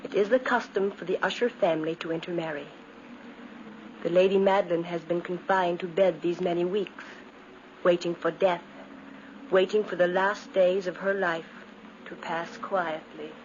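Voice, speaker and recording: An actress playing a dutiful young cousin and fiancée in a 1950s radio drama, solemn and measured. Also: female voice, lowish voice, steady tone, lightly acted. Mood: solemn, foreboding. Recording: muffled 1950s radio recording, faint noise.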